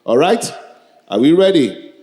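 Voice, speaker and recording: A man's voice: a little high, sing-song, unhurried, warm tone. A man in his early forties with a Nigerian accent, hosting a live event with joking, improvised banter and questions to the crowd. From Lagos, Nigeria. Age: early 40s. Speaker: event co-host, master of ceremonies.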